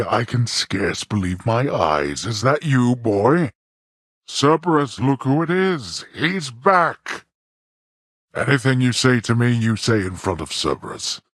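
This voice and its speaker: An actor playing a stern, contemptuous god-king of the underworld in a video game, haughty and commanding, with a deep, husky voice. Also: a bit bright, dry recording, studio quality, full character voice. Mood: mocking.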